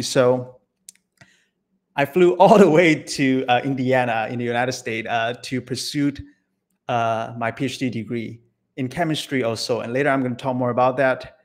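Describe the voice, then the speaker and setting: Malaysian-born man presenting on a video call. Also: medium pitch. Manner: friendly, rambling with many fillers. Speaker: guest presenter. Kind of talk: online presentation.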